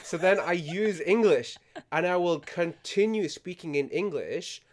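Young man telling stories, slightly high voice. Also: measured pace. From Libya. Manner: storytelling, acts out his own inner voice. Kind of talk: casual chat.

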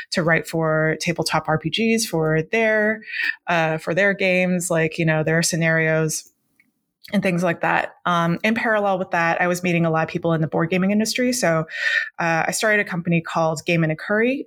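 A young woman from Hong Kong, a native Cantonese speaker, chatting in an interview. Her voice sits a little low, and she talks loosely, full of "like" and "um" and quick restarts. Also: fairly even intonation, fairly bright tone. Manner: chatty, many fillers and restarts. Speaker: game designer guest. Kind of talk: casual interview conversation.

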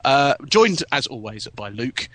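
A man hosting a live TV podcast, chatting in a relaxed way and throwing in playful, mock-formal links. His voice has a bright, forward edge at an ordinary male pitch. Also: unhurried, dry recording. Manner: jokey, presenter-style links. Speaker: podcast co-host. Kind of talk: casual podcast chat.

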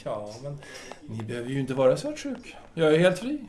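An actor plays a self-assured, free-thinking male intellectual in an audio drama. His delivery swings widely in pitch, with a genial, avuncular manner. He hems and repeats himself as he talks. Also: huge pitch swings, darkish tone. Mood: frank, self-assured. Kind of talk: Swedish audio drama dialogue.

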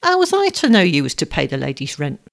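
A surly male bully of a broker's man from a storybook, played by a woman reader. He talks roughly and curtly, snarling like a working-class brute. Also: fairly low pitch, huge pitch swings, fast, full character voice. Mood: indignant.

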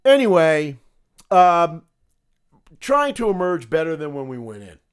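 A man hosting a political podcast, talking solo in a sarcastic, combative way with a gravelly voice. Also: on the high side, big pitch swings, measured pace. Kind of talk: opinionated solo commentary.

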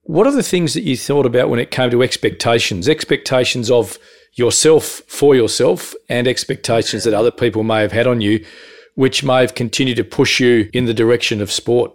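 A man hosting a podcast interview, asking long, measured, wordy questions. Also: medium pitch.

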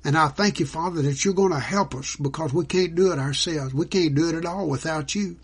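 A man's voice: on the high side, a little fast, slightly rough. A man preaching in an earnest, devout way, teaching as the host of a religious program. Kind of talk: sermon teaching with scripture quotes and closing prayer.

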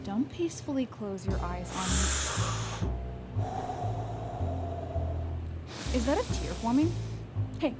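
A woman's voice: on the high side, sing-song, slow, long pauses, loud music behind the voice. A woman playing an exasperated, blunt, foul-mouthed young girlfriend in an audio drama. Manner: profane, exasperated.

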